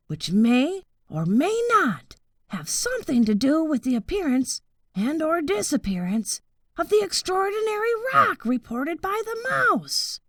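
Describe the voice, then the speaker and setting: A woman voicing a solemn, self-important storybook wild boar who reports methodically in a hushed whisper. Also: high-pitched, no echo, clean studio sound, pitched above the performer's own voice, heavily acted. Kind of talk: children's storybook character voice.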